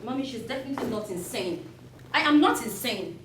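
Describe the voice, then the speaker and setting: Actress for a defiant young heiress, high bright voice, pushes toward a shout. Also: female voice, animated, brisk, a little hiss or hum. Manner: defiant, accusing, rising to near-shouting. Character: defiant young woman fighting her uncle over her father's company. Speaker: daughter confronting her uncle. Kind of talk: film drama acting.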